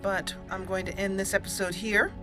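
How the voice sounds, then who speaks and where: A woman's voice: mid-range voice, little pitch movement, moderate pace. Chatty woman commenting on her game. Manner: chatty and friendly, laughs easily. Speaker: gaming channel host. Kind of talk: roleplay gameplay commentary. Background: game music.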